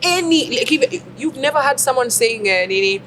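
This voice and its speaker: A woman co-hosting a casual chat, lively and joking, breaking into playful accent impressions. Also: sits high, moderate pace, very noisy. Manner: lively, jokey, mimics accents. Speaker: podcast co-host.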